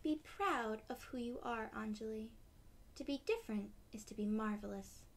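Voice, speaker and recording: A woman voicing a gentle, loving mother in a storybook, speaking softly and earnestly to reassure her daughter. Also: on the high side, normal speed, slight background noise, natural voice. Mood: tender, reassuring. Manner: soft, tender, earnest.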